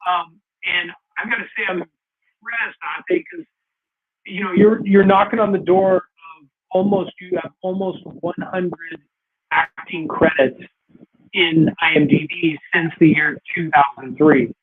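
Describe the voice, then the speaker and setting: A man's voice: sits high, fairly even intonation, measured pace, phone-quality sound, crowd noise. A man hosting an interview, asking long, rambling questions that are full of restarts. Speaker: talk show host. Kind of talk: casual interview.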